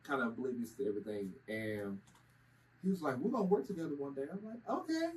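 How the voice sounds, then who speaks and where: A man from Kentucky, a keyboard-playing musician, telling animated stories in a casual interview. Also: mid-range voice, quick pace, far from the mic, low-quality recording. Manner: animated storyteller, lively quoted voices.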